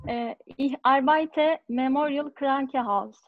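A woman's voice: high voice, slow, no echo. A woman in her twenties with a Turkish accent, a student carefully practising dialogue lines in an online class. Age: 20s. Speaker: language student. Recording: dull online call.

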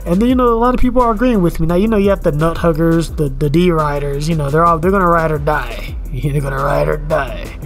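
A man's voice: fairly high pitch, fairly quick, vocal fry, hardly any pauses, soft background music. A man in his thirties giving a casual fitness commentary rant at home, repeating himself and asking "you know what I'm saying" again and again. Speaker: fitness vlogger. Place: indoors at home, in front of a camera.

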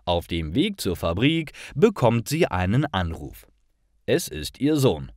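A man narrating a scripted story for language learners in careful, clearly separated phrases. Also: fairly low pitch, very animated, a little slow, a little mellow, no room sound, studio quality. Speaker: narrator of stories for German learners.

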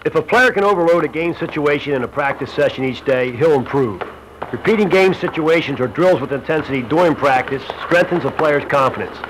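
A man's voice: a little high, fairly quick. A man reading scripted narration for an instructional basketball film, as a shooting instructor. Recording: noisy old Super 8 film soundtrack.

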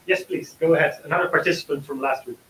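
A man's voice: on the high side, quick pace, fairly bright tone. Man speaking hesitantly in a roomy space. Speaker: author and course teacher. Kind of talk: answering questions in a book review session. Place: room with an audience, on a video call. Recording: roomy, distant mic with faint hiss.

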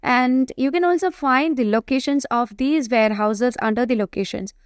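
Female tutorial instructor, higher-pitched voice, hesitant step-by-step explaining, dry close-miked voice-over. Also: dry recording. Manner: explanatory, halting delivery with frequent hesitations. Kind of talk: screen-recorded tutorial narration, semi-improvised.

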